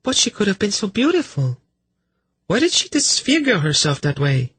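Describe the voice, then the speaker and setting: Man doing a high, plaintive, innocent young woman. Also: huge pitch swings, moderate pace, fairly bright tone, breathy edge, dry recording, exaggerated voice. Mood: dismayed. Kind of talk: fantasy audiobook character voice.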